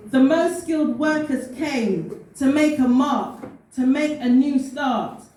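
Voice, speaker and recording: A woman's voice: high voice, takes it slowly, darkish tone. Echoey woman reciting spoken-word poetry. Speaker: poet. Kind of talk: spoken-word poetry reading, personal storytelling. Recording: very echoey room, distant mic, faint noise.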